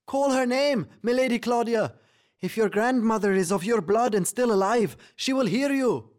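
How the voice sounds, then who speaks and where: A small, excitable fantasy creature sidekick. The voice leaps far up in pitch and yelps frantically. It sounds jumpy and eager to serve in a storybook tale. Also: male voice, normal speed. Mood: frantic, urgent. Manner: yelping, frantic, deferential.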